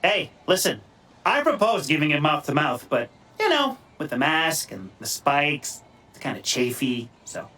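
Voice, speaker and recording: A man's voice: on the high side, wildly swooping pitch, unhurried, heavily acted. A man playing a cocky, crude, wisecracking vigilante hacker in a video game, theatrical and teasing, with mock voices. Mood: playful, cocky. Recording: loud music behind the voice.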